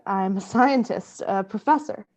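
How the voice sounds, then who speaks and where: A woman's voice: mid-range voice, a little slow, a little mellow, natural voice. A woman playing an intense, obsessive female scientist in a radio play, with earnest, formal, dramatic delivery. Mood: tense. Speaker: voice actor.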